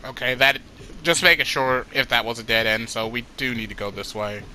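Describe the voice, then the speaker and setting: A man hosting a let's play, talking excitedly with many fillers and restarts, his nose a little stuffy. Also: mid-range voice, a little fast, a little hiss or hum. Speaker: gaming channel host.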